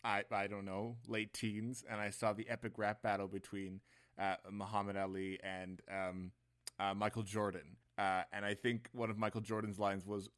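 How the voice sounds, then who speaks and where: A young man co-hosting a podcast in casual, joking conversation, with halting, self-aware repeated starts. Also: fairly low pitch, level tone, a bit bright, clean tone. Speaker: podcast co-host.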